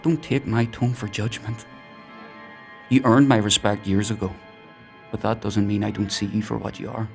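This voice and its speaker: An actor playing a coldly controlled, menacing healer turned interrogator in an audio drama, with a measured, gravelly delivery. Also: male voice, on the low side, faint music behind. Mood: cold, taunting. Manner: measured, calmly threatening.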